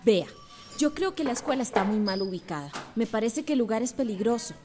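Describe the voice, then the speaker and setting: A determined young schoolteacher with a fairly high voice, played by an actress. She is earnest and insistent, asking questions without backing down. The delivery is radio soap opera acting. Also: animated, brisk, over music, lightly acted.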